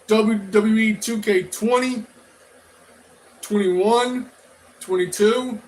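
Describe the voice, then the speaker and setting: A man giving rambling, casual opinion commentary as a review vlogger, halting and often repeating and restarting his phrases. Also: high voice, fairly even intonation, poor audio. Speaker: toy and media review vlogger.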